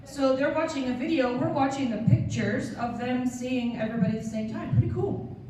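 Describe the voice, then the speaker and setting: A woman's voice: high voice, fairly quick, background noise. A woman on staff leading a school assembly, upbeat and cheering the students on. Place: large school gym full of seated students. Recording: echoey gym from far off.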